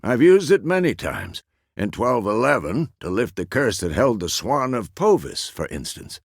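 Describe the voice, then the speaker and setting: A man playing a gruff, fatherly old monster hunter in a fantasy video game, with a gravelly voice and a terse, measured, authoritative manner. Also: medium pitch, sing-song, no echo, clean studio sound.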